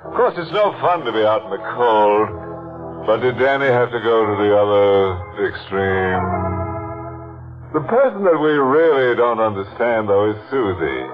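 An actor playing a gleefully macabre, tongue-in-cheek host of a 1940s radio mystery show, wry and mock-sympathetic. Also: male voice, on the low side, very animated, normal speed. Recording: tinny, narrow old radio broadcast. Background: music.

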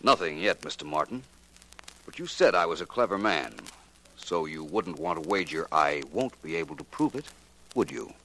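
Suave gentleman sleuth: low, precise, polished delivery, 1940s radio drama on a noisy old recording. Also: sing-song, normal speed, vocal fry, exaggerated voice. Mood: coolly probing. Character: suave, self-assured gentleman amateur detective.

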